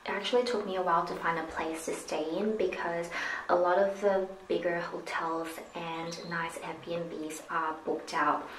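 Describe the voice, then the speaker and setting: A woman's voice: lowish voice, level tone, a little slow, fairly bright tone, a little hiss or hum. A woman in her twenties chatting casually as a travel vlogger, recorded indoors in an apartment. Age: 20s. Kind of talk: casual vlog chat. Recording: roomy echo with music behind. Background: music.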